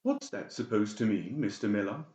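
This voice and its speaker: A man's voice: lowish voice, expressive, moderate pace, dark tone, echoey room, highs cut off, lightly acted. A man voicing a cold, manipulative doctor in a horror audiobook, mock-polite and menacing. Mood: cold, sharp. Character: cold, conniving, balding doctor, falsely soothing villain. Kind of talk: dramatised horror audiobook dialogue.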